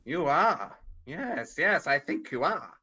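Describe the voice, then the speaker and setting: Guy acting excitable old victorian gent, high bright voice swooping about, dull video call sound. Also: slow pace, breathy edge, exaggerated voice. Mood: mock stern. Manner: excitable, theatrical. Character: old Scrooge, reformed miser, gleeful and effusive. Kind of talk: radio play reading of Victorian story.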